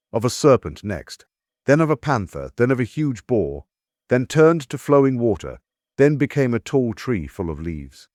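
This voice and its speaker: Epic audiobook role: resolute ancient warrior king, deep and dramatic storyteller, dry close recording. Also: darkish tone, no room sound. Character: Greek warrior king recounting his hardships, resolute, earnest.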